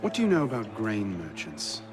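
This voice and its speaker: Terse, low-voiced sardonic assassin. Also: male voice, wildly swooping pitch, normal speed, over music. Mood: wry, intent. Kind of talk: video game cutscene acting.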